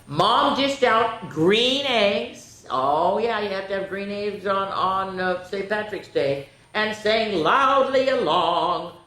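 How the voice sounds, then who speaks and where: A woman's voice: medium pitch, expressive, slow pace, hardly any pauses, a bit bright. A woman hosting a children's storytime, reading picture books aloud with playful, chatty asides to her young listeners. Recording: echoey, roomy sound.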